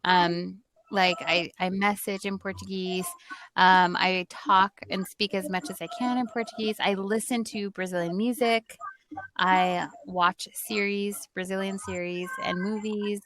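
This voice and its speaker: A woman's voice: medium pitch, flat delivery, measured pace, a bit bright, a little hiss or hum. A woman from the United States chatting on a video call, an English teacher learning Portuguese, who laughs easily and tells stories with energy. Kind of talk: casual video-call conversation.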